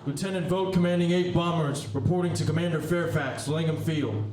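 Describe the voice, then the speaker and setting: A man's voice: on the high side, fairly quick, a little mellow, a little hiss or hum, close to an everyday voice. A man playing an army bomber lieutenant making a military radio report in a period drama. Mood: urgent, official. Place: live theatre stage. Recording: very echoey, distant mic.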